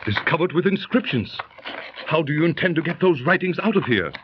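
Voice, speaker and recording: An actor playing a cautious, grave judge and loyal friend, in earnest, theatrical 1930s radio style. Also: male voice, fairly high pitch, big pitch swings, fairly quick, noisy background. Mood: wary. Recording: muffled 1930s radio recording, music behind.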